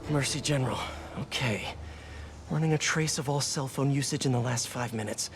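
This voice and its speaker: A man's voice: medium pitch, normal speed, lightly acted. A man voicing an earnest, wisecracking young American masked hero in a video game, muttering quips to himself in a naturalistic way. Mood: anxious, concentrated. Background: music.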